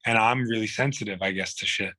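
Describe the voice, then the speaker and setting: A man's voice: lowish voice, quick pace, sharp tone, dry recording. A man, telling his own illness story in a blunt, profane, rambling way. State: hoarse. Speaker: guest. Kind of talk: candid personal storytelling.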